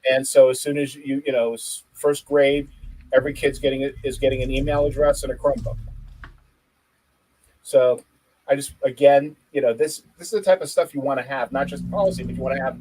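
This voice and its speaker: A man co-hosting a tech podcast, chatting casually and telling a story in an animated, joking way. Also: mid-range voice, brisk, poor audio. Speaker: podcast co-host. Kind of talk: casual chat.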